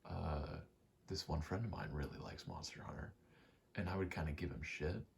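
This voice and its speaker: Very low male voice, creaky fry, soft ASMR murmur, casual slangy rambling, faint background noise. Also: expressive, normal speed. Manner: soft-spoken, often dropping into whisper; slangy, profane, meandering. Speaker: ASMR video host.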